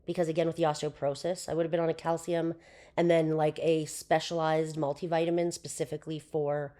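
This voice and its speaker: A young woman with a hoarse voice, chatting casually as a podcast guest, with lots of fillers. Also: low voice, flat delivery, brisk, dark tone. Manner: chatty, with frequent fillers and laughs. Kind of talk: casual interview chat.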